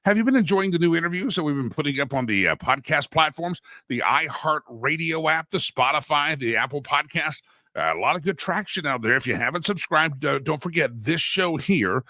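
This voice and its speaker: A man's voice: fairly high pitch, lively intonation, brisk, bright, forceful tone, dry recording, studio quality. A man hosting a casual political talk show, stuffy and a little sore from a head cold, talking in a folksy, chatty way with many asides. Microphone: studio microphone.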